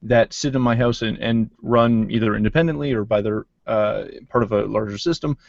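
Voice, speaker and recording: A man in his thirties hosts a relaxed panel chat over a video call, talking loosely with plenty of fillers and restarts. His voice sits on the low side. The audio is dry and a little muffled. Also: fairly quick, creaky, no room sound. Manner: loose, filler-heavy talk with many restarts. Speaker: podcast host. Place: home office with shelves and wall clock. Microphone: desk mic on video call.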